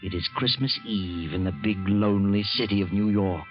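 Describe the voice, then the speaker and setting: An actor playing a mysterious narrator who walks by night, telling a tale slowly and ominously in a 1940s radio drama. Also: male voice, fairly low pitch, close to an everyday voice. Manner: slow, ominous storyteller. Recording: dull old radio broadcast with music.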